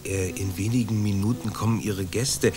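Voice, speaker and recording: An actor playing a nervous, hesitant man under suspicion in a radio play, with soft music behind. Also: lowish voice, fairly even intonation, normal speed, a crowd in the background, natural voice. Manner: hesitant, full of ums.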